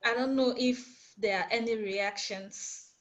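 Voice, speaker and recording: A woman's voice: fairly high pitch, steady tone, unhurried. A woman hosting a group poetry discussion, explaining things step by step in repeated parallel phrases. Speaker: discussion host.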